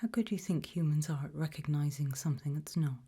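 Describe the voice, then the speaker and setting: A very breathy, whispering British woman, acting as a calm investigator in an ASMR interview roleplay. Her voice is low and mellow, and she puts gentle, probing questions with measured pauses. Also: heavily acted. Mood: quiet, probing.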